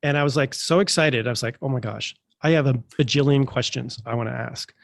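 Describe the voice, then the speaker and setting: A man's voice: medium pitch, a little fast, gravelly, no room sound, studio quality. A man hosting a podcast, chatty and enthusiastic with lots of fillers, sounding a bit congested from allergies. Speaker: podcast host. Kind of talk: casual interview.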